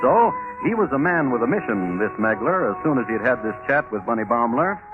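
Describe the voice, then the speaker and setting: A man acting a droll, urbane crime narrator, dry and deliberate with mock gravity. His pitch moves expressively, on a tinny vintage radio recording with music behind. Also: big pitch swings, close to an everyday voice. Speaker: host and narrator. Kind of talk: 1950s radio scripted narration.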